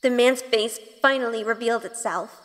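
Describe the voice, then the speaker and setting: A woman's voice: a little high, normal speed, long reverb tail, natural voice. A woman playing an earnest, impassioned young witch who tells her story in an audio drama. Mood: tense storytelling. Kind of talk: audio drama narration and acting.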